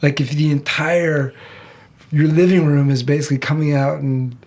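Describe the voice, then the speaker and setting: A man's voice: fairly high pitch, flat delivery, normal speed, darkish tone. A man hosting a podcast, asking curious, probing questions and often restarting mid-sentence. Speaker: podcast host. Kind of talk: conversational interview.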